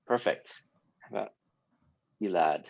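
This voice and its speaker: A man's voice: fairly low pitch, creaky, dry recording. A man hosting an online leadership session, calling on people by name with a friendly, apologetic manner. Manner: friendly, apologetic, quick asides. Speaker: host of an online workshop. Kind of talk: facilitating a video call. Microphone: video call. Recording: dull lo-fi call audio.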